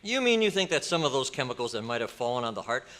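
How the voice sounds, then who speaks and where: A man playing an urgent junior scientist in old-time radio horror, asking excited, incredulous questions. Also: mid-range voice, fast. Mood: puzzled. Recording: live hotel meeting room, long echo.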